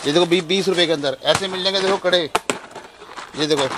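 Fast, high-voiced man rattling off prices. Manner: rapid, repetitive price-counting patter. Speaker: wholesale shop owner. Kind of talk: sales pitch, listing prices. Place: small indoor shop crowded with shelves. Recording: noisy shop recording.

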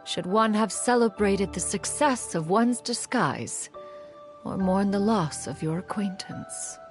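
A woman's voice: mid-range voice, takes it slowly, faint music behind, natural voice. A woman voicing a proud, aloof immortal crane adeptus in a video game, speaking in a haughty, formal and archaic manner. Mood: wistful, reflective. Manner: haughty, formal, archaic self-reference as "one". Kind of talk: scripted video game dialogue.

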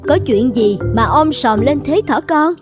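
A woman voicing a middle-aged, motherly rabbit in a cartoon, brisk, self-assured and caring. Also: sits high, lively intonation, music in the background. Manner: brisk, sure of herself, caring.

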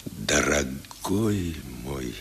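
A man's voice: rumbling low, lively intonation, drawn-out words, gravelly, fairly bright tone, slight background noise, exaggerated voice. An actor playing a stern, proud old grandfather with theatrical, emphatic phrasing in a 1970s radio drama. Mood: tender, remorseful. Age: older.

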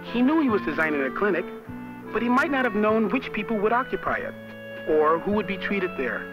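A cheerful young American college student guiding viewers through an old educational film. His voice sits high and swings freely. He is folksy and chatty, with music playing behind him. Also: big pitch swings, fairly quick, muffled recording. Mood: explanatory. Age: young adult. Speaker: on-camera narrator. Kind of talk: scripted educational film narration.

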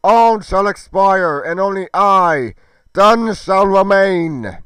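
A man's voice: sits high, expressive, takes it slowly, a bit bright, clean tone, dry recording, voice pushed up, full character voice. A man voicing an arrogant, wrathful half-giant fire demigod in a game roleplay, declaiming in pompous archaic speech. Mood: grandiose menace. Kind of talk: theatrical villain monologue in amateur game roleplay.

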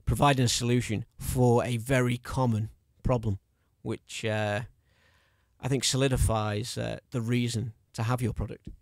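A man's voice: medium pitch, no room sound, studio quality. A man hosting a podcast interview, talking casually with many hesitations and fillers, self-deprecating. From North Wales border area. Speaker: podcast host.

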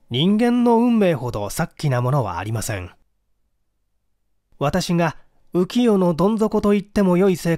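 A man narrates an audiobook mystery in a slightly high voice. His intonation moves widely and expressively, while the delivery stays formal and measured. The recording is dry and close. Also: darkish tone, no room sound. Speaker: narrator reading a classic mystery story aloud. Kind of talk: dramatic scripted audiobook narration in first person.

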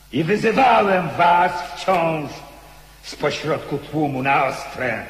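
A proud rebel nobleman's ghost in a theatrical radio play. He declaims slowly and with passion in a fairly high male voice. Long echoing reverb surrounds every phrase. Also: fairly bright tone, exaggerated voice. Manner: declamatory, slow, impassioned. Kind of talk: theatrical radio drama acting. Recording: echoey hall with long reverb.

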